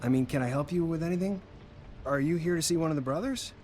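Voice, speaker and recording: A man's voice: on the high side, fast, darkish tone, a little hiss or hum, close to an everyday voice. An actor playing a meek, nervous, eager-to-please male night worker in a video game, hesitant and apologetic. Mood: awkward, friendly.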